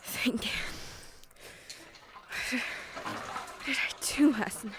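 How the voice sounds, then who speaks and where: A woman playing a dazed, frightened, injured kindergarten teacher in a horror audio drama, speaking in halting fragments. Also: fairly high pitch. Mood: dazed, confused. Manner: halting, breaking sentences into fragments.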